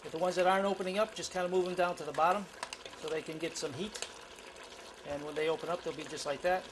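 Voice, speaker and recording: Middle-aged male cooking host, keen and chatty, slightly high voice, noisy kitchen sound. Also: fairly even intonation, fast. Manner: enthusiastic, talks while cooking, many fillers. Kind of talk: home cooking demonstration. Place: home kitchen.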